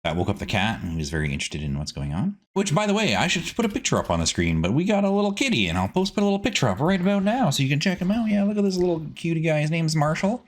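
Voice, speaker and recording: A man giving casual gameplay commentary as a streaming host, chatty and animated, rambling as he goes. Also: fairly high pitch, racing, hardly any pauses.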